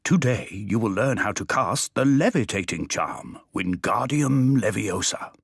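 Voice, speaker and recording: A husky, expressive storybook narrator. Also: male voice, on the low side, measured pace, dry recording, clean studio sound, heavily acted. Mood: storytelling. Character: storytelling narrator of a wizard school tale. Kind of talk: scripted storybook-like game narration. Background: faint game music.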